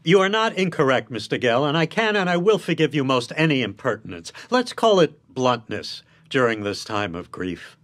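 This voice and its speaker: An actor playing a shrewd, blunt defence lawyer in a radio drama, lecturing in an emphatic, articulate way. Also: male voice, mid-range voice, big pitch swings, moderate pace, few pauses, a bit bright. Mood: coolly firm.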